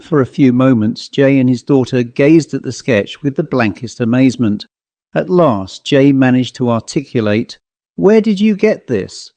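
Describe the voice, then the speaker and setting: A man narrating a literary ghost story as an audiobook, in a measured storytelling manner. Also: medium pitch, warm tone, no room sound.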